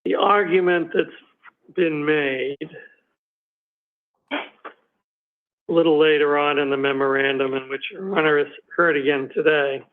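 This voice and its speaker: Guy prosecutor arguing in court over a tinny video call, kinda high voice, lots of uhs, losing his voice. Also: steady tone. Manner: halting, many ums and uhs. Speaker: special prosecutor. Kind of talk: courtroom argument.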